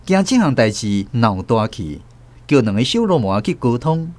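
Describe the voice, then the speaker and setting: A man's voice: medium pitch, moderate pace. A man, host and narrator, reading a story in scripted narration from a radio studio. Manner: steady storytelling.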